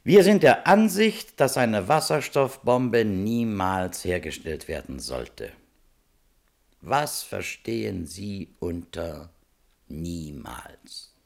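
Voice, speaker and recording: A man's voice: low-pitched, lively intonation, slow, creaky, heavily acted. A man acting in a German radio play as a relentless, probing prosecutor who questions insistently and precisely at a hearing. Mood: probing, formal. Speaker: interrogating attorney.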